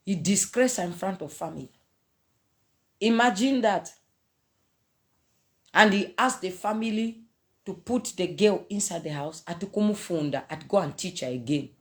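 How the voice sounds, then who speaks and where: A woman in her thirties from Zambia with a Zambian accent, talking in a lively, casual preachy way to a phone camera in a small room, often laughing and mixing in pidgin phrases. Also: medium pitch. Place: small indoor room, seated on a sofa. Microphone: phone camera at arm's length.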